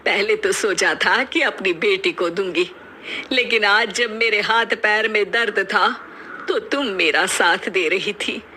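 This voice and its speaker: A woman's voice: medium pitch, takes it slowly, pushed and bright, breathy edge, exaggerated voice. A woman voicing an elderly Indian mother-in-law, curt yet affectionate, in a moral cartoon story. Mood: tender, grateful.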